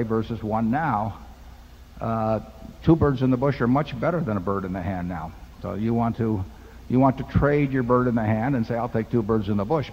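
An older man seated at a table microphone on a hall stage, patiently explaining investing to shareholders with a folksy parable and simple arithmetic. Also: medium pitch. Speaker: company chairman answering shareholder questions. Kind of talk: unscripted explanation at a podium. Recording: dull lo-fi hall recording with long reverb.

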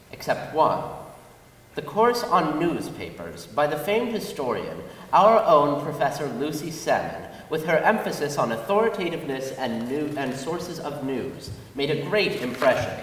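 A man's voice: on the high side, very animated, unhurried, slight background noise. A young man giving a dramatic scripted reading on stage. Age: young adult. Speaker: reader on stage. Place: large wooden concert hall stage. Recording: echoey hall, distant mic.

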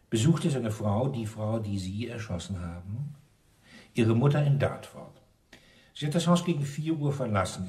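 A dogged, sardonic police inspector, deep and lively. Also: male voice, distant mic. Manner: probing, dryly sarcastic. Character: dogged, sarcastic male police inspector interrogating a suspect. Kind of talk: German radio crime drama acting.

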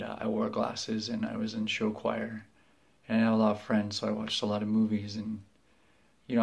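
Gravelly, flat-voiced man in his thirties. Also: lowish voice. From northern Minnesota. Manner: halting, self-deprecating, many fillers. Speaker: aspiring actor interviewee. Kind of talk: candid documentary testimony. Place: small indoor room at home.

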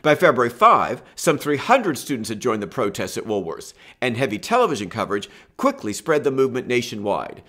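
An older man presenting a history lesson in scripted narration from a room at home, in a measured, expressive storytelling manner. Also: mid-range voice. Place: home room with bookshelf and lamp.

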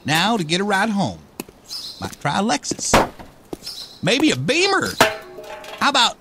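A man's voice: sits high, wildly swooping pitch, unhurried, creaky, very noisy, exaggerated voice. A man playing a brash, wisecracking redneck with an in a comic radio play. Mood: wry, weary. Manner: folksy, wisecracking, exasperated. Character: brash, cranky redneck everyman, last human alive.

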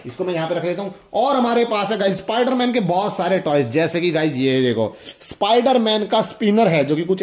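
A man's voice: high voice, darkish tone. A young man, chatting casually to viewers as he hosts a hands-on toy unboxing. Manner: chatty, demonstrative, often addresses viewers. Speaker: toy review host.

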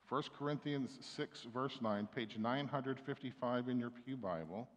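A man's voice: mid-range voice, a little slow. A middle-aged man preaching a sermon from a church pulpit, speaking conversationally with frequent restarts and fillers. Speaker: pastor. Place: church sanctuary. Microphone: stand mic at pulpit, far camera. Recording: long church reverb.

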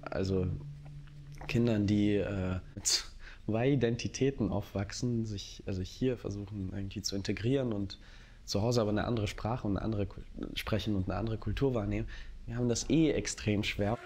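A man giving reflective personal testimony, searching for his words in a halting way. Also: fairly low pitch, a little mellow, a little hiss or hum. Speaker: interviewee, actor. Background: music.

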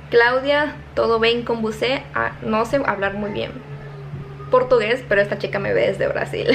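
Young Mexican woman vlogger, slightly high voice, casual and self-laughing, noisy phone audio. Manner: chatty, reading names quickly, laughing at herself. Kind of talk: casual shout-outs to viewers. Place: home kitchen, indoors. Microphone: phone held at arm's length.

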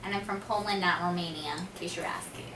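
Bright-voiced young woman, joking around. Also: lowish voice, fairly even intonation, quick pace. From United States. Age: young adult. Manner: playful, giggly, teasing. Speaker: co-host. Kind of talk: casual joking chat. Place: small cluttered storeroom indoors. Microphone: far camera mic. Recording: echoey room, distant mic, faint hiss.

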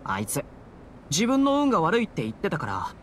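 A man's voice: high-pitched, wildly swooping pitch, brisk, fairly bright tone, slight background noise, heavily acted. A man playing an unlucky but hopeful teenage boy adventurer in an anime game, with a youthful voice and earnest, casual delivery. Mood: matter-of-fact. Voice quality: youthful, boyish. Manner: earnest, upbeat, casual boyish speech. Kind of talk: Japanese anime game voice acting.

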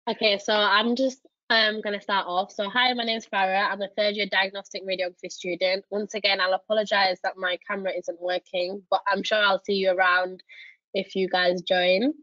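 A woman's voice: medium pitch, little pitch movement, sharp tone, dry recording. A young woman with a northern English accent from Leeds, a student talking enthusiastically to applicants on a video call. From Leeds, England. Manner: friendly, enthusiastic, halting. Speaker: third-year radiography student. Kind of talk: webinar talk to applicants. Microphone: laptop on a video call, camera off. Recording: dry, muffled call audio.

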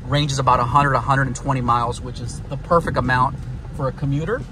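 A man in his thirties from Houston, casually vlogging about his car while he drives in the rain, relaxed and rambling. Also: medium pitch, quick pace. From Houston, Texas. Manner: relaxed, rambling, repeats himself. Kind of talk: casual vlog while driving. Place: inside a car driving in rain. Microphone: phone camera mounted in car. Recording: road and rain noise in car.

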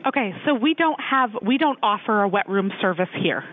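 A woman's voice: mid-range voice, lively intonation, moderate pace. Hotel manager on the phone, female, patient and polite, puzzled, tinny phone line. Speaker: hotel operations director. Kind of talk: phone call.

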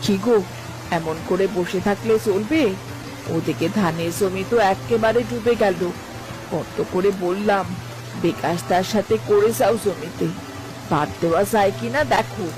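A woman's voice: medium pitch, unhurried, lightly acted, low-quality recording. A woman playing a nagging village wife and mother in a cartoon, scolding and insistent, over music and rain. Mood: urging. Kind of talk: Bengali cartoon voice acting.

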